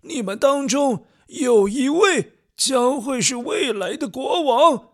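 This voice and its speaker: A man voicing an ailing old king who proclaims slowly and deliberately, commanding but fatherly, in a children's audio drama. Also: very high voice, expressive, a bit bright, pitched above the performer's own voice, full character voice. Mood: solemn, commanding.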